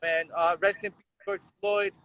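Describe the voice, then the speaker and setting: A man's voice: high-pitched, level tone, moderate pace, a bit bright. A man from Detroit calling into a live stream, speaking earnestly and agreeing emphatically.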